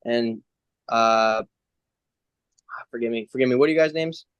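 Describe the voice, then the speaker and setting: A man's voice: mid-range voice, unhurried, clear, resonant tone, no echo, lo-fi sound. Young man chatting informally, dry sound. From United States. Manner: informal, slangy. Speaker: racing driver, interview guest. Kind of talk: casual interview chat.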